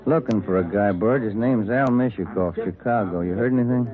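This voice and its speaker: An actor playing an easygoing, world-weary police detective in a 1940s radio drama, dry and plainspoken. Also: male voice, fairly low pitch, a little fast, natural voice. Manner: dry, plainspoken, short remarks. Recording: old narrowband radio, crowd murmur behind.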